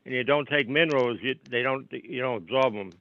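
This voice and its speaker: A man's voice: medium pitch, fairly quick, sharp tone, no room sound. A man in his seventies calling in to a casual chat, talking in a chatty, agreeable way. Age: 70s. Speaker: caller. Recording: dry, muffled lo-fi line.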